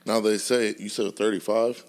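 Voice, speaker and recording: A man in his late twenties co-hosting a podcast, chatting casually in a relaxed, slangy way and telling stories with frequent laughs. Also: on the low side, normal speed. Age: 20s. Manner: laid-back, slangy storytelling, laughs often. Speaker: podcast co-host. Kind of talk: casual chat.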